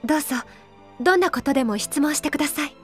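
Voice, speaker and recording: A high, youthful female voice as a dignified game princess. She is earnest and composed, with polite, formal phrasing. Soft music plays underneath. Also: lively intonation, fast talker. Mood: earnest, courteous. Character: earnest, dignified young princess leading on the front line. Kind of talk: Japanese video game voice acting.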